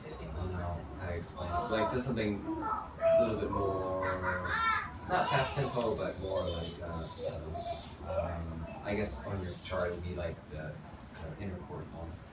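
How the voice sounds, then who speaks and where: Male worship leader, very low voice, hesitant and searching; distant, echoey, loud background. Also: normal speed, very noisy. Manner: hesitant, full of "uh" and "I don't know".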